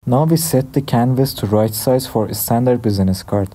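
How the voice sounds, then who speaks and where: A young man from Iran with an Iranian accent, hosting a software tutorial with plain step-by-step instructions. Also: mid-range voice, normal speed. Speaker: tutorial host. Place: dim room at a desk.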